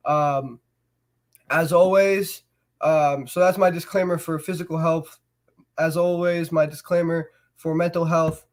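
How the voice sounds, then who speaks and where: A man's voice: fairly high pitch, unhurried. Stuffy-voiced male show host. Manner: relaxed, filler-heavy, warm encouragement. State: stuffy with a cold. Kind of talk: casual show hosting.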